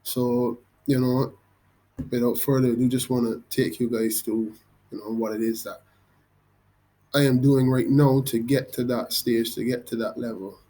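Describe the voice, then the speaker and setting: A young man with a Barbadian accent, talking through his online business in a casual, hesitant way with many pauses and restarts. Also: medium pitch, steady tone, mellow. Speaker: vlogger. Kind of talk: casual screen-recorded walkthrough.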